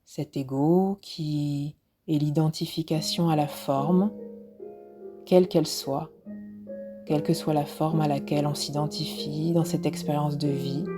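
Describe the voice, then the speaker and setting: A woman instructor leading a guided relaxation meditation in a soft, soothing, unhurried way with pauses, with music behind her. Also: low voice, fairly even intonation. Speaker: yoga nidra instructor.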